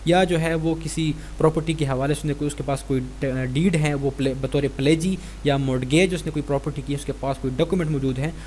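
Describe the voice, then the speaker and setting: A man's voice: a little high, steady tone, a little fast, few pauses, darkish tone. A man with a Pakistani accent giving an explanatory law lecture, reading statutes and explaining them. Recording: clear background noise with hum.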